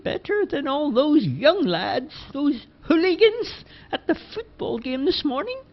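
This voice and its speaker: Casting: man playing a fussy, gossiping elderly village woman, high and drawn-out, swooping. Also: very animated, heavily acted. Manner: slow, dramatic gossip. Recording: dull lo-fi recording.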